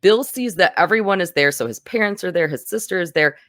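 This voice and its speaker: A woman's voice: on the low side, lively intonation, fairly quick, a bit bright, no room sound, studio quality. A woman hosting a podcast, chatting casually and recounting a film in detail. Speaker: podcast host. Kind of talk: casual film review chat.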